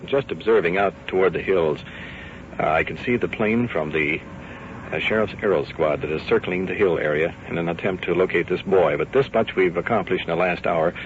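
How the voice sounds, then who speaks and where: A man's voice: low voice, fairly quick, narrow, tinny audio, a little hiss or hum. A man reporting live as a radio host, narrating off the cuff from a police ride-along on an old field recording. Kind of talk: unscripted on-scene radio narration. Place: outdoors and in a police car. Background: vehicles and police radio.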